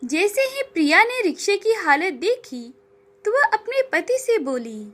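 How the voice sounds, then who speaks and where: High, animated female storyteller. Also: a little slow. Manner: expressive storytelling. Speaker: story narrator. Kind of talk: scripted moral-story narration for cartoon.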